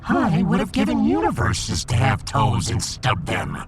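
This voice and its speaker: A man voicing a pompous, embittered alien fish emperor in a sci-fi audiodrama, with grandiose, theatrical, drawn-out delivery. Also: medium pitch, wildly swooping pitch. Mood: bitterly aggrieved.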